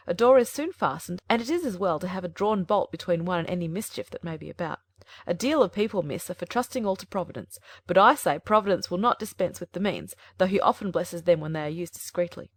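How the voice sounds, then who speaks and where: Demure older servant, cool and quick with a lively lilt and a dry close sound, as a woman narrator puts her on. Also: on the low side, no room sound. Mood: composed. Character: composed, secretive older female servant. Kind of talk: audiobook character voice.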